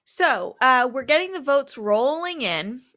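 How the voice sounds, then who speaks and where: A woman with a fairly high and very bright voice co-hosts a podcast. She talks through a recap casually and conversationally, with a wry, informal manner. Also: very animated, measured pace. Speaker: podcast co-host.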